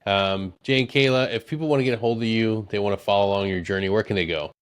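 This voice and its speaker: A man with a deep, low-pitched voice hosts a casual podcast chat. He speaks bluntly and swears freely, and his voice climbs when something frustrates him. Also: fast talker, smooth. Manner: blunt, profane, animated when riled. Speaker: podcast host.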